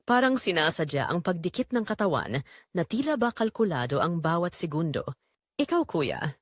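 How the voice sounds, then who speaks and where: A woman's voice: fairly low pitch, big pitch swings, brisk, no echo, clean studio sound. A woman narrating a scripted dramatic story.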